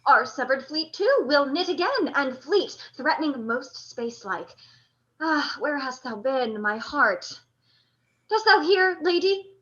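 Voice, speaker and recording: A proud, lovesick general played by a woman declaims in Shakespearean style for a radio play. The voice is high and forceful, full of passion. The audio is slightly dull and lo-fi. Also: animated, measured pace. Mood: rallying, proud. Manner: declamatory, impassioned.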